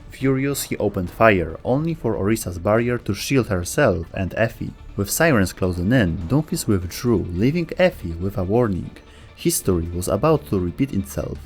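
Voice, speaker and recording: A man's voice: on the low side, darkish tone. A man delivering scripted storytelling narration for a video game lore video. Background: faint background music.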